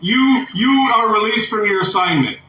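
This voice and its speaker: A man's voice: sits high, normal speed, pushed and bright, echoey room, a little hiss or hum. A man supervising a work shift, arguing on a phone call in an irritated, lecturing way and talking over the other person. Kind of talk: heated phone argument.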